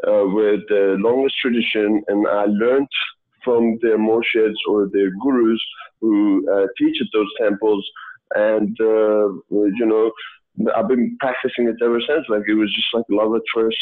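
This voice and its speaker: Guest, man, 30s, from Sweden, lowish voice, halting casual talk, tinny phone-line sound. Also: fairly even intonation. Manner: halting, many fillers and restarts.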